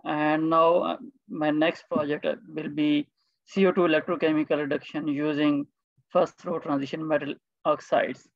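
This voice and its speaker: A man from Pakistan, a chemistry PhD candidate, introducing his research haltingly in an online meeting. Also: fairly high pitch, little pitch movement, creaky, dry recording, lo-fi sound. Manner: hesitant, many restarts.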